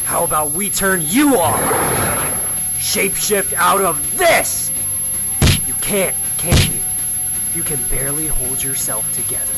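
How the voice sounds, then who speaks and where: A man acting an intense, sardonic, vengeful but principled superhero in an animated futuristic drama. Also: mid-range voice, normal speed, loud music behind the voice, natural voice.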